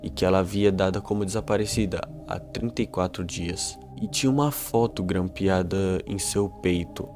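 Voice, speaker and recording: A man's voice: low-pitched, moderate pace, hardly any pauses. A man with a Brazilian accent narrating and explaining a horror video in an informal way. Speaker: YouTube narrator. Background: music.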